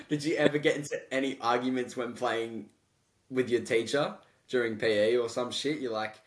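A man's voice: medium pitch, fairly even intonation, moderate pace. A young man hosting a podcast, chatting casually with lots of swearing and "bro". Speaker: podcast host. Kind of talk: casual chat.

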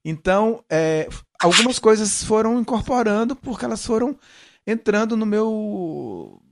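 Middle-aged male interview guest, Brazilian Northeast accent mellowed by São Paulo, fairly high register, repeats words while explaining. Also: animated, a little slow, creaky. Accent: Northeastern Brazilian, softened by São Paulo. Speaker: guest, musician. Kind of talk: casual interview conversation.

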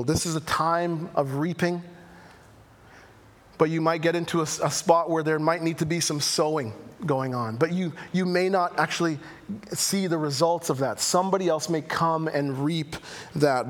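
A man preaching a sermon, reading scripture aloud and explaining it with animated emphasis and playful asides. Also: a little high. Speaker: pastor. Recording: reverberant hall.

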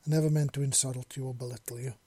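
A man's voice: medium pitch, fairly even intonation, quick pace, mellow, breathy edge, dry recording, lightly acted. Man playing a big teasing alien beast. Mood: soft, apologetic. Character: big alien clawed male, teasing, playful brother.

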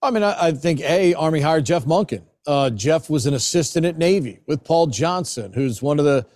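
A man's voice: a little high, animated, moderate pace, a little mellow, dry recording, clean studio sound. An American man from Maryland, a sports broadcaster giving conversational analysis as an interview guest. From Maryland, United States.

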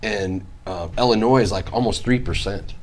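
A man's voice: on the low side, normal speed, a little hiss or hum. Blunt man chiming in on morning show. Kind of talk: casual studio banter.

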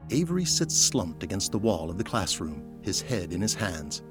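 A man narrates a scripted audio drama in dramatic style. His voice is on the low side, and prominent music runs loudly beneath it. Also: very animated, moderate pace.

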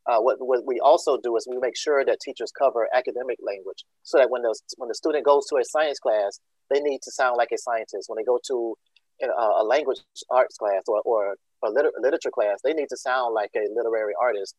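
A man from Georgia speaks as a school leader in an interview, explaining earnestly and filling pauses with ums and uhs. His voice sits mid-pitch for a man, and the recording is close and dry with no room sound. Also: darkish tone, dry recording, poor audio. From Georgia, USA. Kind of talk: interview answer.

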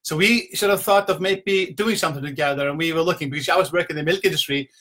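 A man's voice: a little high, fast, bright, forceful tone. A middle-aged man from Iceland with an Icelandic accent, giving an informal motivational talk from home in a conversational, rambling storytelling manner. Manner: conversational, rambling storytelling with repeated "you know". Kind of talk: informal motivational talk to a live online audience. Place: at home, indoors.

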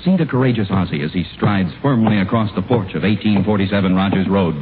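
A man's voice: fairly low pitch, quick pace, a little hiss or hum. A man working as a 1940s radio announcer, delivering scripted lines with dramatic, polished emphasis. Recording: thin, narrowband old radio recording.

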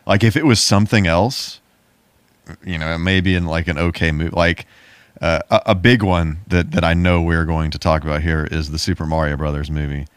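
Deep-voiced man in casual, informal chat. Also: fairly even intonation, brisk, clean tone, no echo. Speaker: podcast co-host. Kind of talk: casual chat about movies.

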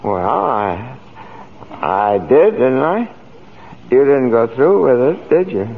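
An actor playing a mild, innocent elderly apprentice angel in a 1940s radio drama, chatty, polite and guileless. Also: male voice, mid-range voice, big pitch swings, takes it slowly, vocal fry, husky, exaggerated voice. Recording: tinny vintage radio broadcast recording.

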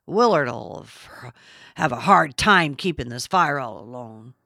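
A woman's voice: rumbling low, lively intonation, slow, creaky, sharp tone, dry recording, studio quality, pitched below the performer's own voice, exaggerated voice. A woman narrator voicing an agitated, boastful, childlike rural man with blunt, emphatic speech in an audiobook. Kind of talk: audiobook character reading.

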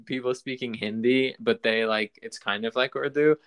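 A man's voice: mid-range voice, fairly even intonation, normal speed, smooth. A man hosting a podcast in casual chat, rambling and often restarting his sentences. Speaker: podcast host.

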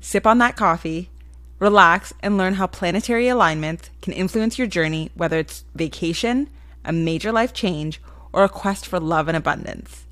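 A woman's voice: on the low side, normal speed, fairly bright tone. A woman hosting a podcast, introducing her guest and reacting with upbeat, gushing enthusiasm. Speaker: podcast host.

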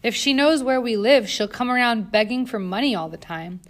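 A woman's voice: medium pitch, moderate pace, creaky, fairly bright tone. A woman voicing a fussy, disdainful older female governess in a storybook reading, prim and scandalized.

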